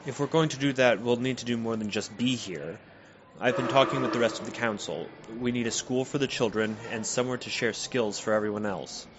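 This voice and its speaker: A man's voice: medium pitch, brisk, natural voice. A man playing an earnest, determined leader of an island community in an audio drama. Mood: earnest, resolute. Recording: noisy, dull lo-fi recording.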